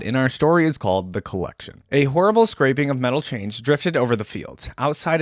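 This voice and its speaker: A man's voice: lowish voice, dry recording, clean studio sound. A man hosting a reaction video, reading lore aloud and commenting on it casually.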